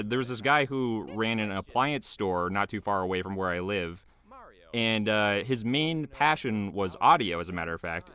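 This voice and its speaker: A man's voice: on the low side, pushed and bright, clean tone. A man giving casual behind-the-scenes commentary as a video creator, rambling loosely in a small homemade booth.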